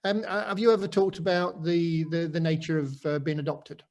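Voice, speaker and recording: A middle-aged man with a Nottingham accent interviewing in a relaxed conversation, pausing often with ums and restarts. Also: fairly high pitch. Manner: halting, full of ums and restarts. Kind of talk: casual conversation.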